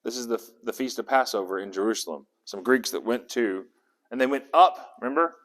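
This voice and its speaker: A man's voice: medium pitch, fairly quick, a bit bright. A man leading a Bible study, talking conversationally and putting questions to his group. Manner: conversational, asks the group questions, restarts words. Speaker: Bible teacher. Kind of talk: interactive Bible study teaching. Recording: long echo of a hall.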